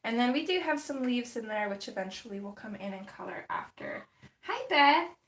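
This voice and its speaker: Livestream host, woman, slightly high voice, chatty, getting over a cold, roomy echo. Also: animated, moderate pace, creaky, fairly bright tone. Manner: chatty, greets viewers by name, thinks aloud. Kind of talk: casual livestream chat while coloring.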